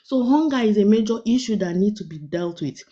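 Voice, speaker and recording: Impassioned woman with a mellow voice. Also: medium pitch, expressive, a little fast. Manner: impassioned, pleading. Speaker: guest in a live discussion. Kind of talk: impassioned livestream remarks. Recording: dull lo-fi livestream audio.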